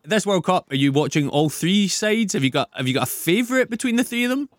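A man's voice: sits high, expressive, quick pace, no echo, studio quality. A male radio host chatting playfully with a caller and co-hosts, often laughing. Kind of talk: casual radio chat. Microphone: studio microphone.

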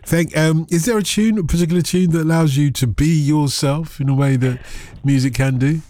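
A man's voice: on the high side, fairly even intonation, moderate pace, warm tone, no room sound. A man with a Liverpool accent hosting a radio phone-in, playful and jokey, often talking over his guest. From England. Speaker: radio show host. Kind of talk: casual radio phone-in chat.

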